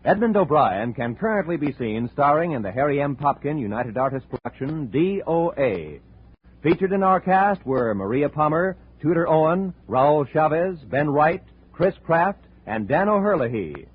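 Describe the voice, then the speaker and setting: A man's voice: fairly high pitch, very animated, moderate pace, slightly rough. An American man announcing credits in a formal 1950s radio style. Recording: tinny vintage radio broadcast.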